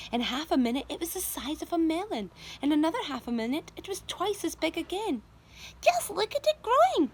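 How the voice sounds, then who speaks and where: A woman teacher reading a children's novel aloud to her class, expressive storytelling. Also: a little high. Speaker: primary school teacher.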